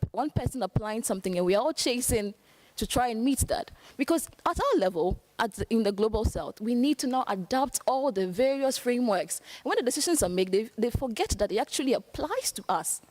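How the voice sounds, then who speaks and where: Young female panelist from Africa, fairly high voice, earnest and emphatic, very dry sound. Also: a little mellow, no room sound. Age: young adult. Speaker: youth panelist. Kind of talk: panel discussion remarks.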